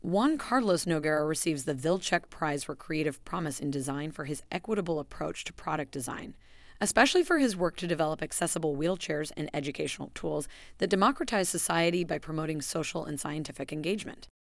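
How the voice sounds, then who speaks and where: A woman with a low, deep voice reads out a formal announcement. Her delivery is measured and even. The recording is dry, with no room sound. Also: creaky, dry recording. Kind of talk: scripted narration.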